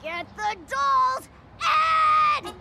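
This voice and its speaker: Bossy, very high cartoon little girl. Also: lively intonation, very slow, vocal fry, exaggerated voice. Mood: bossy, threatening. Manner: commanding, loud. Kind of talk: cartoon game acting. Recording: loud music behind the voice.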